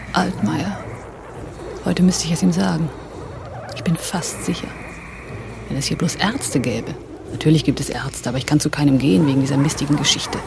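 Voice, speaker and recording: A woman's voice: deep voice, creaky, background noise. An actress playing a weary, troubled woman in a radio drama, speaking in an intimate, brooding and subdued way. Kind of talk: intimate inner monologue and dialogue in radio drama.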